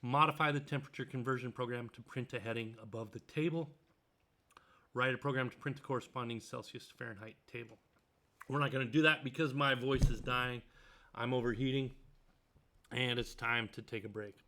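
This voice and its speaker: A man's voice: medium pitch, steady tone, brisk. Man thinking aloud through code. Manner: thinks out loud, many ums and uhs; reads passages then comments on them. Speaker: programming tutor. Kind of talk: screen-recorded coding tutorial, reading a textbook aloud.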